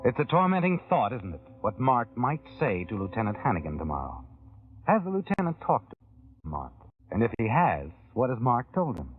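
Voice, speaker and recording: A man narrating a vintage radio thriller in the second person, his pitch swinging widely as he probes and insinuates. The sound is thin and tinny, with faint music underneath. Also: wildly swooping pitch, moderate pace, slightly rough. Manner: insinuating, probing.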